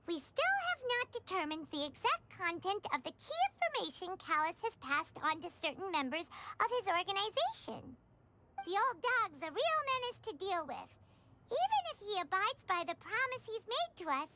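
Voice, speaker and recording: A woman voicing a small, excitable girlish fairy companion in a video game, with a squeaky childlike voice and expressive delivery. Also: noisy background. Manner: expressive, refers to herself by name. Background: game music.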